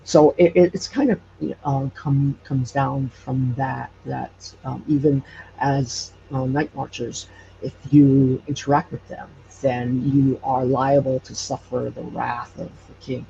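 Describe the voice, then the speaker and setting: A man from Hawaii speaking as an interview guest, explaining local legends haltingly as he searches for words. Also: mid-range voice, level tone, creaky. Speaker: podcast guest. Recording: dull, noisy audio.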